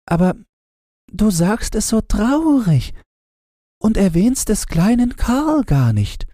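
A man's voice: high voice, big pitch swings, slow pace, dark tone, no room sound, clean studio sound, pitched above the performer's own voice, heavily acted. A man voicing a sad, innocent little girl in a fairy tale, with plaintive, childlike questioning. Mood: reproachful.

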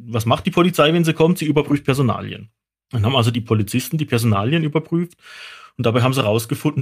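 A man's voice: medium pitch, slightly rough. A man telling stories casually on a podcast, explaining things in an amused, conversational way. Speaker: toxicologist guest expert.

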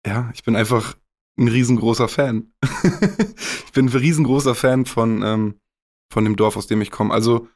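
A man's voice: medium pitch, steady tone, normal speed, darkish tone. A young man from northwest Germany, a rapper speaking candidly and casually in a podcast interview, with some swearing and English slang.

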